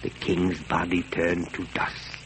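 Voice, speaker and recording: A man doing a deep, slow, mournful old guide. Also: little pitch movement. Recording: noisy old film sound, off-mic.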